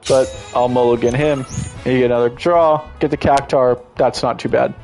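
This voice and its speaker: A man's voice: medium pitch, fairly even intonation, moderate pace, a little mellow, faint music behind. A congested man giving casual, enthusiastic gameplay commentary as a streamer, rambling and restarting his sentences often.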